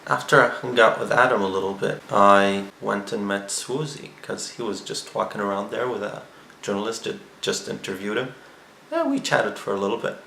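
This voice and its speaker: A man's voice: fairly low pitch, moderate pace, vocal fry, pushed and bright. A man casually recapping his trip in a chatty, rambling vlog. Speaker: vlogger. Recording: echoey room with faint ambient noise.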